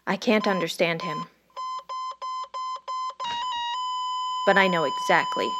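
A woman's voice: mid-range voice, huge pitch swings, a little fast. A woman playing an ambitious, detached ER doctor in an audio drama, confessional and intense. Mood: haunted, grim. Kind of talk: first-person dramatic narration and dialogue.

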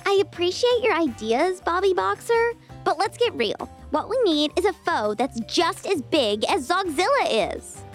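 A woman playing a very high-voiced, confident girl superhero. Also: sing-song, unhurried, hardly any pauses, exaggerated voice. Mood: matter-of-fact. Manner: confident, matter-of-fact. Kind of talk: acted children's adventure story. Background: faint music.

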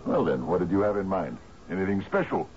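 An actor in a radio drama playing an anxious, genial older island captain, halting and stammering as he pleads. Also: deep voice, sing-song, a little mellow. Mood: genial, coaxing. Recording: muffled, hissy old radio broadcast.

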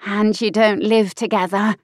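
A woman voicing an impudent young mistress in an audiobook, with a high, airy, pert voice. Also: steady tone, a little slow, no echo, clean studio sound, close to an everyday voice. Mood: curious. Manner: pert, teasing.

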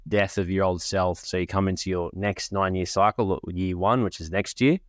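A man's voice: sits low, steady tone, moderate pace, no echo, studio quality. A man with a cold and a cough, hosting a podcast and talking in a rambling, conversational way. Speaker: podcast host. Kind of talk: casual monologue.

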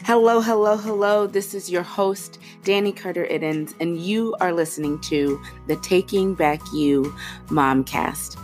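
A woman's voice: on the low side, a little slow, darkish tone, soft background music. A woman in her thirties hosting a podcast with a head cold. She sounds stuffy and nasal and chats casually, with lots of fillers and restarts. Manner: chatty, many fillers and restarts. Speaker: podcast host. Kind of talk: casual chat.

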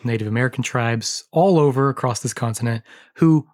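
A man co-hosting a podcast in casual chat, slightly hoarse, joking and full of history asides. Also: mid-range voice, a little fast, a little mellow. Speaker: podcast co-host.

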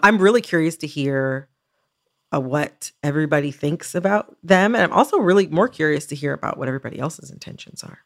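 An American woman with a noticeably creaky voice co-hosts a casual podcast. She talks in a candid, self-aware, wry way, as in a relaxed conversation between colleagues. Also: sits low, brisk. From United States. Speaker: podcast co-host.

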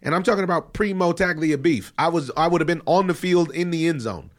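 A man's voice: on the high side, brisk. A man hosting a casual sports talk show, speaking with a head cold and riffing emphatically. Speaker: podcast host. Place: studio in Los Angeles.

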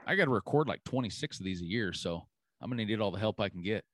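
A man's voice: on the low side, lively intonation, fast, dry recording, studio quality. A man hosting a hunting podcast, chatting casually and easygoing, with lots of "you know" fillers. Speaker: podcast host.

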